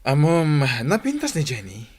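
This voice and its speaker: Man playing bantering young Ilocano buddy, higher-pitched. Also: wildly swooping pitch, moderate pace, darkish tone, slightly rough, heavily acted. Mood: teasing. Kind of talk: radio comedy-drama acting.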